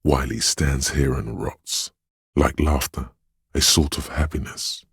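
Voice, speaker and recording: A man voicing a solemn, tender inner voice that reads others' feelings in a video game, measured and brooding in delivery. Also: no room sound, studio quality, heavily acted. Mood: brooding, gentle. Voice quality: deep, husky, gravelly. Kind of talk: scripted video game narration.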